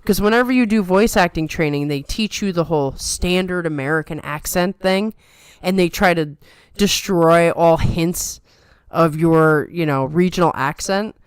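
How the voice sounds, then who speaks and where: Smooth chatty woman with a New York lilt. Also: on the low side, normal speed, few pauses, no echo. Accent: softened New York. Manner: chatty, playful, trained radio delivery. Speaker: podcast co-host. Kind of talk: casual chat.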